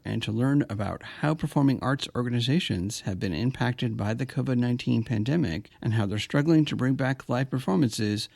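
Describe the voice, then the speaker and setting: A man's voice: mid-range voice, gravelly, no echo, clean studio sound. A man hosting a community podcast, speaking as a presenter. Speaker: podcast host. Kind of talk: scripted podcast narration and interview hosting.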